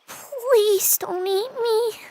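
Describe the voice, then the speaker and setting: A woman's voice: extremely high pitch, drawn-out words, dark tone, dry recording, full character voice. A woman voicing a tiny, timid young male caterpillar in a children's audiobook, with a small, hesitant, childlike voice. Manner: hesitant, wide-eyed questioning. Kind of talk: children's audiobook character acting.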